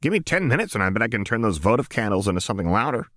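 Guy doing a manic wounded young gunslinger girl, pitched way up from his own voice, erratic and snappy, audiobook. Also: sing-song, very fast, fairly bright tone, no room sound, studio quality. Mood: mischievous. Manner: erratic, spitting, snarling. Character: volatile, wounded young woman, manic gunslinger with metal arm.

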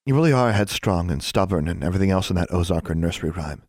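A man voicing a shy, caring schoolgirl witch in a story, soft and earnest. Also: low voice, animated, fast, no room sound, clean studio sound. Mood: gentle.

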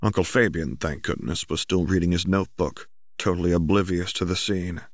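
Male story narrator, deep voice, close and dry recording. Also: steady tone, fairly quick, no room sound. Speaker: audiobook narrator.

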